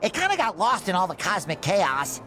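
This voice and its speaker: A man voicing a cheerful, naive young sea sponge hero in a cartoon game, with a squeaky nasal voice and an earnest, excitable manner. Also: normal speed, soft background music, exaggerated voice. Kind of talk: animated cartoon game voice acting.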